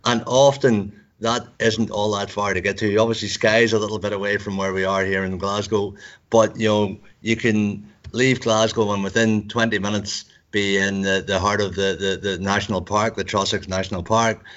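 A man speaking casually about his university's outings in a fairly low voice. He hesitates often and repeats words before getting his point out. The recording sounds dull and lo-fi. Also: little pitch movement, normal speed, fairly bright tone. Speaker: university recruitment staff. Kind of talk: casual panel discussion.

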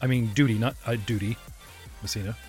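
A man's voice: mid-range voice, steady tone, a little fast, darkish tone, faint music behind. Rambling, playful male game master. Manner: playful, rambling, stumbling restarts. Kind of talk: running a tabletop game online.

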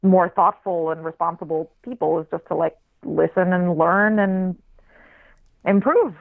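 A writer raised in Israel with a flat American accent, speaking as an interview guest in a thoughtful, searching way with many restarts. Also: mid-range voice, a little slow, creaky, dry recording. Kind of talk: reflective conversational interview. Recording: tinny phone-line recording.

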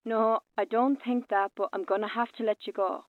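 A woman's voice: fairly high pitch, fairly even intonation, normal speed, no room sound. An actress playing a sleepy, polite but firm woman, heard through a telephone filter in a radio drama. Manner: polite, restrained, brushing off. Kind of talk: naturalistic radio drama acting. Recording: narrow, dry phone-call sound.

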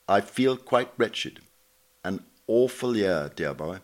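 A man voicing a weary, apologetic middle-aged gentleman writer in a self-pitying, clipped way for a narrated reading. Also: fairly low pitch, animated, takes it slowly. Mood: weary, wretched.

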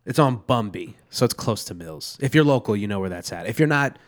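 A man's voice: on the low side, expressive, a little fast. A man co-hosting a casual beer podcast, a little congested and nasal, rambling with many restarts and a slightly sore throat. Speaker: podcast co-host. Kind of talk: casual chat over beer.

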